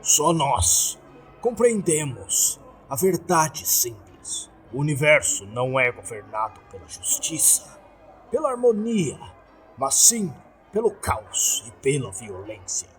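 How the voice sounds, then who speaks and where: A man's voice: mid-range voice, sing-song, slow pace, a bit bright. A man with a Brazilian accent voicing a suave, menacing, grandiose cult leader in a horror story. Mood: grandiose menace. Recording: background music under the voice.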